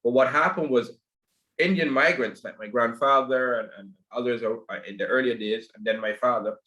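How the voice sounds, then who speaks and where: A man's voice: mid-range voice, normal speed, pushed and bright. A man from Barbados, speaking as a guest in a podcast interview, often hesitant and repeating his words. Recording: echoey room.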